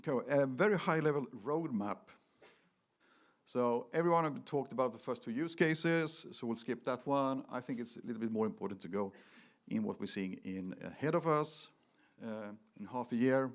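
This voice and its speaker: A man giving a technical product presentation to an audience, speaking in a halting, emphatic way with slightly non-native phrasing. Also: mid-range voice. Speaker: conference presenter.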